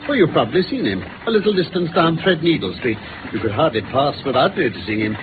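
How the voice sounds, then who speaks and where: A man with a British accent playing a brisk, incisive gentleman detective in a 1950s radio drama, articulate and theatrical as he lays out facts. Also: fairly high pitch, lively intonation, close to an everyday voice. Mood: brisk, matter-of-fact. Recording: noisy, phone-like old radio recording.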